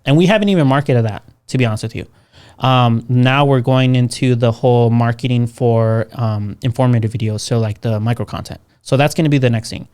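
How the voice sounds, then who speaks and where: A man in his thirties with a smooth, clear voice, talking in a casual podcast conversation. He speaks in a measured, deliberate way. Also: mid-range voice, a little mellow. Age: 30s.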